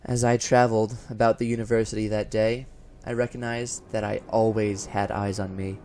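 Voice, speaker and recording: A man's voice: fairly low pitch, natural voice. Man acting a haunted professor narrating gothic horror over music. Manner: formal, deliberate, theatrical, swelling from hushed to frantic. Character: haunted college professor unraveling into paranoid dread. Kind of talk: first-person gothic horror audio narration. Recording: music behind the voice.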